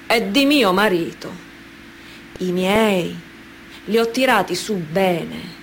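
A slow radio-play monologue with faint ambience behind it. a pious mother and mafia wife, mid-pitched, deliberate and defiantly proud of her family. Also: a little hiss or hum, low-quality recording.

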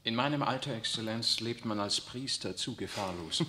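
A man's voice: fairly low pitch, moderate pace, pushed and bright. An actor playing an earnest, deferential young priest in his twenties in a 1960s radio drama. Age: 20s. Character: earnest young male priest, 27, respectful and eager.

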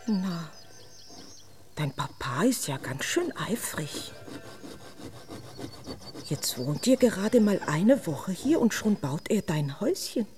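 An actress playing a vain, teasing young princess who chatters in a haughty, theatrical way in a radio drama. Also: female voice, fairly low pitch, big pitch swings, slow, long pauses, darkish tone, slight background noise.

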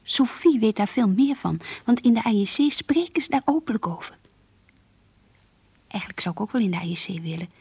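A woman playing a naive, pious schoolgirl in love, breathy and earnest, confiding her longings in a radio play. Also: mid-range voice, lively intonation, a little fast, warm tone, dry recording. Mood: wistful, confiding. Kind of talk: radio drama, diary-like monologue and dialogue.

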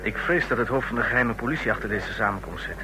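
Male actor as uneasy official, radio play: average pitch, crowd noise behind. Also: fast talker, lightly acted, poor audio. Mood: apprehensive. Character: uneasy official awaiting his leader. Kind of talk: mid-century radio play acting.

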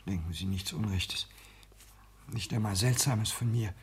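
Timid, frail, earnest lodger. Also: male voice, on the low side, unhurried. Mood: embarrassed, confiding. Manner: meek, hesitant, formal. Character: timid, frail, earnest man lodging, secretly lovestruck. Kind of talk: 1960s German radio drama acting. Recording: hissy 1960s radio play recording.

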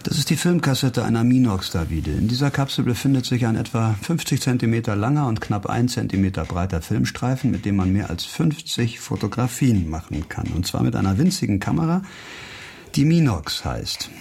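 Sardonic amateur sleuth for radio crime play. Gravelly, dry, probing, given to muttered asides. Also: male voice, mid-range voice, expressive, brisk, darkish tone. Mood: matter-of-fact, probing. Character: sardonic, impulsive male investigator, a disgraced doctor turned amateur policeman. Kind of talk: German radio crime drama acting.

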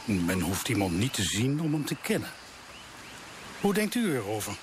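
A man's voice: lowish voice, very animated, normal speed. An actor playing a blunt, scornful military man of thirty in a radio drama, with a forthright manner. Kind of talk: Dutch radio drama acting. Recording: noisy old radio play recording.

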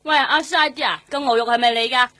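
Excitable nosy companion, high-pitched, Hong Kong Cantonese accent, cheeky, playful, radio drama. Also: female voice, fast talker, no echo. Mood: excited, curious. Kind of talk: lively radio drama acting.

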